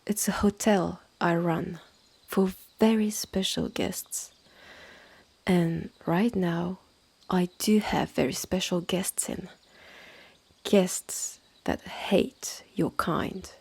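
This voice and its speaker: Woman doing a witch's sister for roleplay audio, french accent, breathy n husky, slow, haughty, guarding her land. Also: on the low side, fairly even intonation, darkish tone, heavily acted. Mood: proud, ominous. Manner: slow, measured, condescending, with breathy drops to near-whisper. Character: haughty, territorial witch's sister running a secretive woodland hotel. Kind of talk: scripted roleplay audio drama.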